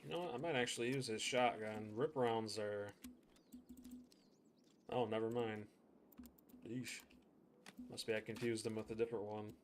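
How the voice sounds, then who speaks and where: A man's voice: fairly low pitch, fairly even intonation, normal speed, long pauses, a little hiss or hum. Casual man commentating his gameplay. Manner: casual, chatty, thinks aloud while playing. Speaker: streamer.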